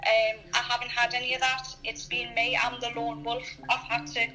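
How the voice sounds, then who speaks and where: Bright-voiced English woman, early thirties. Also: on the high side, little pitch movement, normal speed, soft background music, low-quality recording. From Newcastle, England. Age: early 30s. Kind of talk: candid personal chat.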